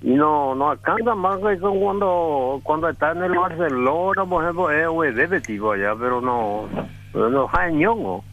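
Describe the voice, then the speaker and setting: A man, rambling about football on a radio show in a halting, slangy way. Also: a little high, narrow, tinny audio, a little hiss or hum. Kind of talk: casual football talk on radio.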